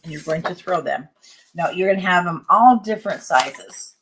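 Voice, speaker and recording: A woman's voice: on the low side, normal speed, a little hiss or hum, poor audio. An older woman teaching a craft tutorial, chatting and greeting viewers as she works, her voice wearing thin. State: losing her voice. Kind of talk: live-streamed craft tutorial.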